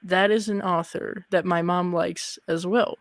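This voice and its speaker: A young adult host chats casually through a livestream interview. The voice sits at a middle pitch and sounds close and dry. Short agreeing interjections pepper the talk. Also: steady tone, a little slow, dry recording.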